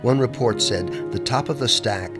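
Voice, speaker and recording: A man's voice: medium pitch, moderate pace. A man reading scripted historical narration as a documentary narrator. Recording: music bed under the voice. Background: music.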